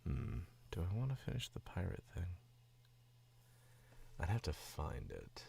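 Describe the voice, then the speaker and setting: A man's voice: sits low, huge pitch swings, a little slow, gravelly, warm tone, a little hiss or hum. A sick man from the Southern US with a Southern accent, chatting casually and rambling on a stream as a voice actor. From Southern United States. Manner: rambling and distracted, chuckling often. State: sick, voice dropped lower.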